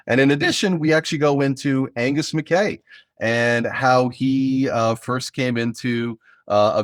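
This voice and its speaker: Guy hosting a podcast, chatting casually, lowish voice, really dry sound. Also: no echo. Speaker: podcast host and audio drama writer. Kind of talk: casual chat introducing an episode.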